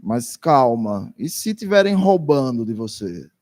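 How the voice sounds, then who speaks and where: A man's voice: mid-range voice, big pitch swings, measured pace, a little mellow. A man doing consecutive interpretation for a lecture, speaking hesitantly with frequent restarts.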